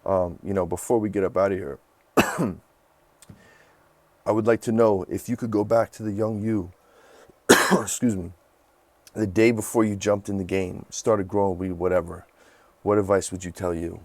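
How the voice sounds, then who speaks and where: A man's voice: sits low, steady tone, moderate pace, pauses often, a little mellow, no room sound. A man in his thirties hosting a podcast interview, chatting casually and effusively in slangy speech full of "bro" and "man". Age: 30s.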